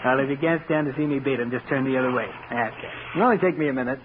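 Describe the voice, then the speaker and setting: An actor playing a devoted husband in a 1940s radio drama, earnest and theatrical, speaking tenderly. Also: male voice, medium pitch, racing, crowd noise, close to an everyday voice. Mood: cheerful. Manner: earnest, theatrical, endearments to his wife. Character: devoted husband on a boating anniversary trip. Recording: scratchy, tinny 1940s radio recording, heavy noise.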